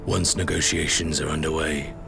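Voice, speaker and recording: Villainous game prince: rock-bottom pitch, gravel, languid menace, music underneath. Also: male voice, steady tone. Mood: cold, commanding. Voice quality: very deep, gravelly. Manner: slow, deliberate, aloof, formal. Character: cold, imperious warrior prince, languid and menacing. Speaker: voice actor. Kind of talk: dramatic video game acting.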